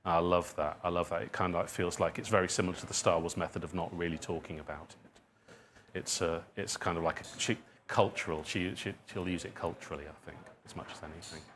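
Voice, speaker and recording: A man answering audience questions as a writer at a talk, thinking aloud in a halting, self-deprecating way. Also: low voice, flat delivery, fairly bright tone, a little hiss or hum. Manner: reflective, halting, hedging with self-deprecating asides. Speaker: guest speaker, writer and dramatist.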